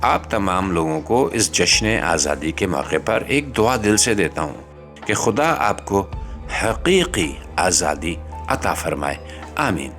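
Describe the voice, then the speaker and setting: A man's voice: sits low, sing-song, slow pace, fairly bright tone, faint music behind. A Pakistani man delivering scripted satirical political commentary in a sardonic, rhetorical manner. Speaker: host and commentator.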